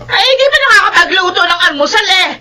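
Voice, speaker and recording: A woman's voice: extremely high pitch, expressive, fast, sharp tone, a little hiss or hum, exaggerated voice. A woman playing a scolding, exasperated aunt in an audio drama, nagging and exclaiming. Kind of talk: Filipino audio drama acting.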